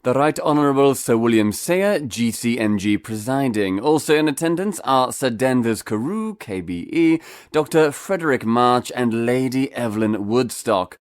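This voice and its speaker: An actor playing a brusque, pompous Victorian knight presiding over a meeting, clipped and officious in manner. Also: male voice, mid-range voice, lively intonation, normal speed, hardly any pauses, clear, resonant tone, dry recording, clean studio sound, lightly acted. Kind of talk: theatrical period audio drama acting.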